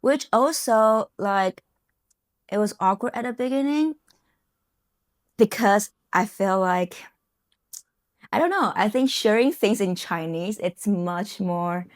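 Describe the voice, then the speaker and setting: A woman's voice: mid-range voice, slow, pauses often, no room sound, studio quality. A young woman from Taiwan with a Taiwanese accent, whose first language is Mandarin, talking casually to camera in a chatty way with many fillers and small grammar slips. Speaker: software engineer and vlogger.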